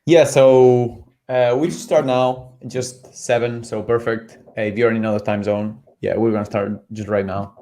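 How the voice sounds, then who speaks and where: A man's voice: mid-range voice, fairly even intonation, moderate pace, dark tone. Casual man host, filler-heavy questions. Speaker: podcast host. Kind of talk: casual interview chat.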